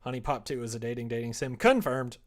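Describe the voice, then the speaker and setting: A man chatting casually on a livestream as he works at a computer, thinking out loud and joking as he goes. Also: medium pitch, expressive, fast talker. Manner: musing aloud, joking, reads chat and drafts aloud. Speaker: streamer writing a visual novel. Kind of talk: casual livestream chat while writing. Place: indoors at a computer desk.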